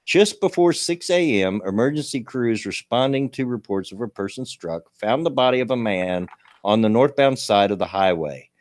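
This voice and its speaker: A man in his late fifties with a gravelly voice, hosting a casual livestream talk show and reading local news in a folksy, rambling way. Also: medium pitch, animated, normal speed, dry recording, studio quality. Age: 50s. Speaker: show host.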